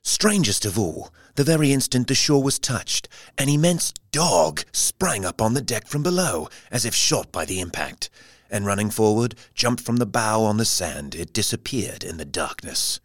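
A man's voice: on the low side, very animated, moderate pace, no echo, studio quality. A man playing a florid newspaper reporter in an audio drama, telling the story in a formal, theatrical way. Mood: dramatic, amazed. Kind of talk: scripted audio drama narration.

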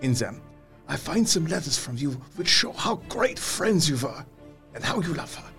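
An older professor in a radio drama, fervent and courtly. His voice is fairly high for a man, with a gravelly creak and very animated swings of pitch. He speaks in halting, theatrical phrases. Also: faint music behind, exaggerated voice. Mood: grave, pleading. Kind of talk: radio play acting, dramatic monologue.

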